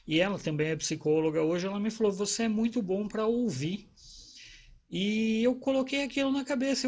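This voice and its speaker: A man's voice: fairly high pitch, darkish tone. A man, a psychologist talking casually to camera about his own life, in a conversational, rambling way with restarts and tag questions. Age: 40s. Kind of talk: casual personal talk to camera.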